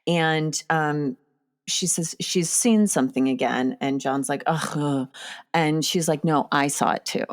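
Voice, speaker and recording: A young woman hosting a casual film discussion, chatty and often pausing to search for words. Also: low-pitched. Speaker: podcast host.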